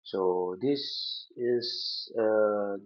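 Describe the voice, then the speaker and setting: A man with an Indonesian accent giving an unscripted lecture over slides, halting often with fillers and restarts. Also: lowish voice, steady tone. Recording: dull lo-fi recording.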